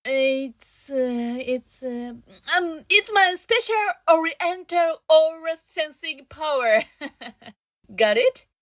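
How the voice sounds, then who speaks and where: A woman acts out a naive, earnest young female sleuth. She talks slowly and hesitantly in a fairly high voice. It is a dry, close radio drama recording with music behind. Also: expressive, no room sound. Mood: awkward, earnest.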